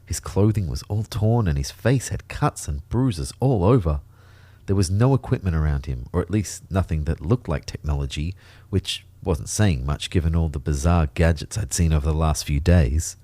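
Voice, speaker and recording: A man's voice: a bass voice, expressive, moderate pace, mellow, no echo. An actor playing an anxious everyman who narrates a sci-fi audio drama in a wry, understated way. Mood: wry, uneasy. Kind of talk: scripted first-person audio drama narration.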